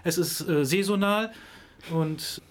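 A man's voice: sits high, slow pace. A man answering interview questions, explaining thoughtfully with frequent hesitations and fillers. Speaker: interviewed expert on tourism.

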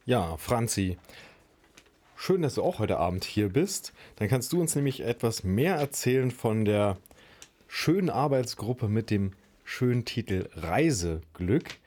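A man's voice: lowish voice, expressive, measured pace, a little mellow. A man hosting a live school radio show in a studio, talking casually with many fillers. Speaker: radio host.

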